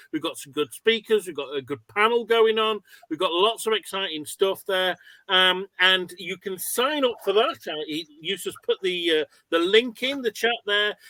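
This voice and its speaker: A man's voice: high voice, sharp tone, dry recording, poor audio. A man hosting an online webinar with an informal, chatty and encouraging introduction. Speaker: webinar host. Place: online video call.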